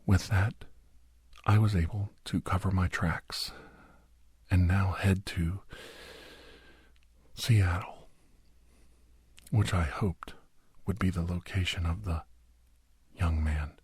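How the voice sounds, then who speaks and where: A man tells a horror story in breathy ASMR whispers, solemn, dramatic and deliberate, close to the microphone. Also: low voice, fairly even intonation, darkish tone. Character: male doctor solemnly recounting a werewolf horror tale.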